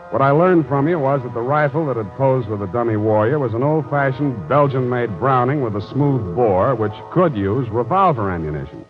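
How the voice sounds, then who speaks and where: An actor playing a tough, unflappable American private detective in an old radio drama, deadpan and wisecracking. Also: male voice, mid-range voice, natural voice. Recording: dull old radio recording with music.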